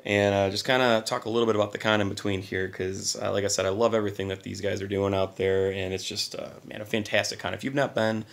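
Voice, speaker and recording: A man with a hoarse voice hosting a casual collector vlog, talking keenly but a little quietly. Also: lowish voice, flat delivery, fast, few pauses. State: hoarse from singing and little sleep. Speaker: channel host.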